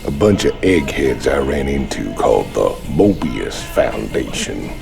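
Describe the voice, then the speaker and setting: Rock-bottom gravelly Southern outlaw. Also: male voice, a bass voice, sing-song, unhurried, music in the background, heavily acted. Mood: sly, drawling. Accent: Southern American. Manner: drawling, cocky teasing. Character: hulking, swaggering ex-convict outlaw, gruff and mocking. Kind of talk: video game cutscene acting.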